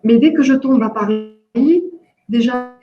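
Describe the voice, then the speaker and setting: A woman from Jordan with no accent, speaking haltingly with many fillers and restarts in an online discussion workshop. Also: a little high, over music. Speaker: workshop participant, entrepreneur. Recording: echoey, dull lo-fi call audio.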